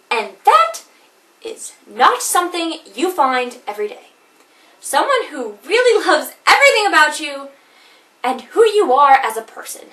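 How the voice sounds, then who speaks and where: A woman's voice: sits high, bright, forceful tone, reverberant, recorded from a distance, heavily acted, rough recording. A woman performing a comedic monologue as a jilted wife, wry and theatrical, caught between laughing and crying.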